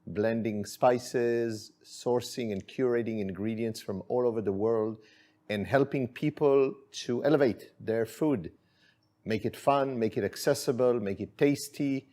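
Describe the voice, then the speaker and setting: A man's voice: mid-range voice, a little slow. A middle-aged man from Israel, chatting easily through a cooking demonstration in his kitchen, with plenty of fillers. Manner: relaxed, friendly, with many fillers. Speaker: chef and spice company owner.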